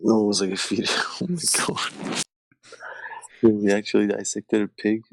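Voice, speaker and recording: Gravelly young man joking on a call. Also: fairly low pitch, little pitch movement, normal speed, slight background noise, rough recording. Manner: rambling, laughing, swearing. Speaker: podcast host. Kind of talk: crude comedy banter. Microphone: remote call over shaky wi-fi.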